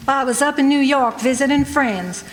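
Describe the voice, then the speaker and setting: A woman's voice: high voice, moderate pace, slight background noise, natural voice. A woman playing a loyal, hard-edged friend of a victim, blunt and emphatic, in a radio drama. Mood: accusing, certain. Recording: echoey hall, faint stage ambience.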